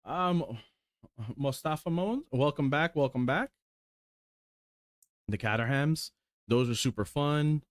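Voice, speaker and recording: Man, 40s, casual rambling streamer, excitable, salty language, dry close sound. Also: fairly high pitch, slow, long pauses, dry recording. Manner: rambling, excited, swears casually, lots of ums. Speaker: sim racing streamer. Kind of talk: casual chat while showing cars.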